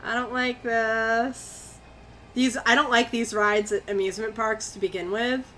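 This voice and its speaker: Bright, jumpy woman streamer. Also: fairly high pitch, steady tone, a little slow, faint music behind. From Connecticut, US. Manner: jumpy, easily spooked, swears and chats with chat. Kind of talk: live gameplay commentary.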